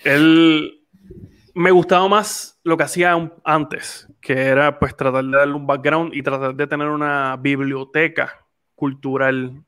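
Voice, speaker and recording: A man's voice: fairly high pitch, level tone, measured pace, a bit bright, clear, resonant tone. Chatty Puerto Rican man in his twenties. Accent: Puerto Rican Spanish. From Puerto Rico. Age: 20s. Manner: rambling, frequent restarts and fillers. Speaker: podcast host. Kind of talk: casual chat.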